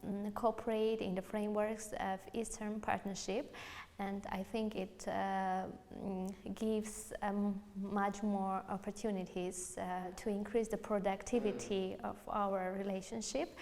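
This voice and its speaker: Hesitant young woman, flat delivery. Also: medium pitch, a little slow, big-room sound. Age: young adult. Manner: hesitant, many ums and uhs. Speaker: youth interviewer. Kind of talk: interview questions.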